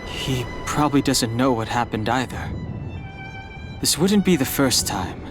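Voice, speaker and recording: Calm, serious young man acted over game music. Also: medium pitch, lightly acted. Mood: composed, serious. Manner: measured, matter-of-fact, explanatory. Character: calm, serious, level-headed young male companion. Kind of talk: anime game voice acting.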